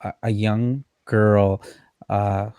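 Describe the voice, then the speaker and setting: A man hosting a faith podcast interview, speaking in a halting, sentimental way with many restarts. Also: on the low side, flat delivery, dark tone, smooth, dry recording. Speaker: podcast host. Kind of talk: conversational interview.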